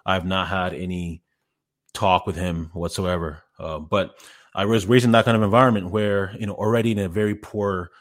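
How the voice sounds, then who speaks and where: A man in his thirties, from Ghana, talking as a podcast guest in a relaxed, halting way with many fillers and restarts. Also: low voice. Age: 30s. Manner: hesitant, many fillers and restarts, laughs easily. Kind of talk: casual interview chat.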